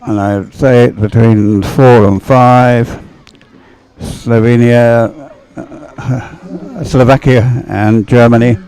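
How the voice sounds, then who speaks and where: Mellow, clear man commentating a race. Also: mid-range voice, fairly even intonation, takes it slowly, low-quality recording. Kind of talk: live sports commentary.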